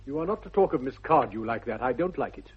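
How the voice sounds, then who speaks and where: A man playing an exasperated, stuffy upper-class English gentleman, clipped and indignant, in a radio comedy. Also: medium pitch, expressive, quick pace, lightly acted. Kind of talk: 1960s radio comedy of manners acting. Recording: dull 1960s radio recording.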